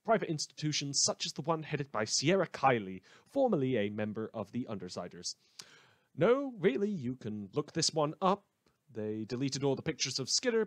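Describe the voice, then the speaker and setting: A man's voice: medium pitch, no echo, natural voice. A man playing a snide, opinionated news radio host, delivering scripted satirical reports in an articulate, mock-earnest manner. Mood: sardonic, suspicious.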